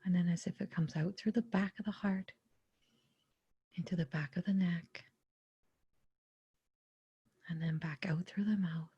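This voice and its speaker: A woman leading a guided meditation in a soft, breathy, often near-whispered voice, gently and without hurry, pausing between short phrases. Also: on the low side, steady tone, no echo, lo-fi sound. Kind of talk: guided meditation, soothing spoken instruction.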